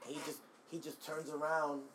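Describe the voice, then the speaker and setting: A man co-hosting a casual wrestling chat, telling scenarios in an animated, slangy, profane way. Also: on the high side, normal speed, a little hiss or hum. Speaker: podcast co-host.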